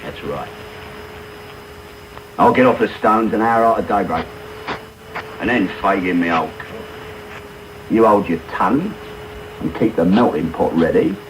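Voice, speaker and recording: An actor playing a brutal, menacing housebreaker in a radio drama, gruff and commanding in manner. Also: male voice, low voice, a little slow, pauses often. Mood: curt, commanding. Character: brutal, menacing London housebreaker, a grown man. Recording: noisy medium-wave radio broadcast tape.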